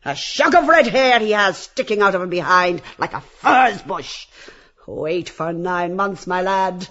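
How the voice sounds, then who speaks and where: High-pitched, swooping, domineering bully. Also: male voice, expressive, unhurried, sharp tone, dull audio, full character voice. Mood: jeering, ruthless. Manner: taunting, bullying, theatrical barking. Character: domineering, jeering, cruel brothel boss turned auctioneer. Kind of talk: theatrical audiobook character reading.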